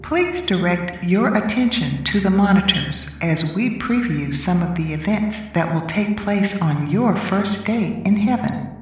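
A woman's voice: mid-range voice, lively intonation, unhurried, few pauses, very echoey, far from the mic, soft background music, close to an everyday voice. A woman voicing a polite female flight attendant who makes formal boarding announcements in a radio drama. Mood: announcing.

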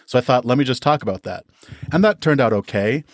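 A man's voice: mid-range voice, expressive, quick pace, dry recording, studio quality. A man speaking as a podcast guest, explaining things conversationally in an enthusiastic, articulate way. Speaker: storyteller and podcast creator.

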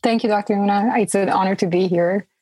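A woman's voice: mid-range voice, steady tone, quick pace, mellow, dry recording. A middle-aged woman from Romania, answering conversationally as a podcast guest.

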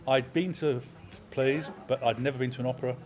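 A man in his sixties, an opera company manager, talking in a measured, anecdotal way in an interview. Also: mid-range voice, steady tone. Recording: crowd chatter in background.

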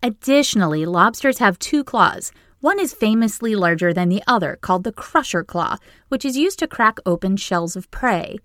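A woman's voice: medium pitch, animated, normal speed, few pauses, a bit bright, no room sound, clean studio sound. A woman from Maine with a Maine accent, hosting a podcast in scripted, playful narration with jokey asides. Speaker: podcast host.

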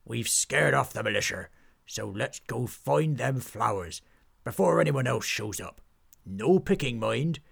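A man voicing a boisterous pirate captain, loud and gruff with hearty pirate talk, in a storybook tale. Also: mid-range voice, lively intonation, unhurried, full character voice. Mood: bellowing. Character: boisterous male pirate captain, flower lover.

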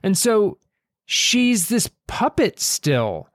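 Slow-paced man with a higher-pitched voice. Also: sing-song, no echo, clean studio sound. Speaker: author and podcast host. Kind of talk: thoughtful literary discussion.

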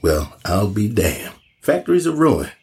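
A man's voice: fairly low pitch, big pitch swings, creaky, darkish tone, full character voice. A man playing a middle-aged small-town police chief in a horror audio drama, with a folksy, probing manner. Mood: chummy. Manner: folksy, unhurried questioning.

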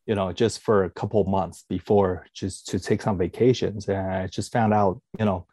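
A man's voice: low voice, fairly even intonation, moderate pace, mellow, breathy edge, dry recording. A man answering interview questions in a hesitant way, with many fillers and restarts. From born in Taiwan, raised in Canada. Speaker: podcast guest, food company executive.

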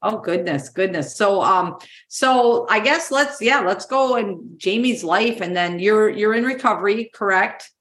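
Lady hosting a chatty interview, average pitch, informal n encouraging, sounds roomy and echoey. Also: unhurried, hardly any pauses, a bit bright. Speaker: interview host. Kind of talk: casual conversational interview.

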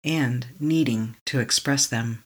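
A meditation guide leading a tapping session, speaking slowly and calmly in short affirmations for the listener to repeat. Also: mid-range voice, slightly rough. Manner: calm, slow, repeating affirmations to be echoed back.

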